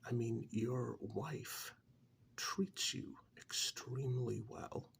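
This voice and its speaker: A man playing a calm, frank therapist in a soft-spoken ASMR role-play, talking in a measured, halting, half-whispered way close to the microphone. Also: mid-range voice, gravelly. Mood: explanatory, matter-of-fact. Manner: measured, halting, matter-of-fact, professional. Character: calm, frank male therapist counselling a troubled married man.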